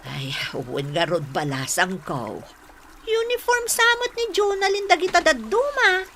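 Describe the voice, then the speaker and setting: Fussing mother, animated and bright. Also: extremely high pitch, measured pace, a little hiss or hum, heavily acted. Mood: placating. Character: fussing, indulgent middle-aged farm mother. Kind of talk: Ilocano radio drama acting.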